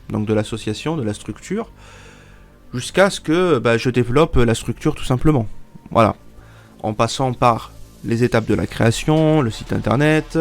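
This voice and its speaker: Twenties male YouTuber, congested, mid pitch, rambling vlog talk, restarts. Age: 20s. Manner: rambling, many fillers and restarts. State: stuffy from a cold. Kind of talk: casual vlog talk to viewers.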